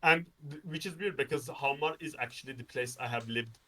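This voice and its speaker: A man from Norway talks casually as a guest in a conversation. His voice is bright and forward, though it sits a little far from the mic. He rambles through anecdotes with self-deprecating asides and acts out short quotes. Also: mid-range voice, brisk. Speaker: interview guest, game developer.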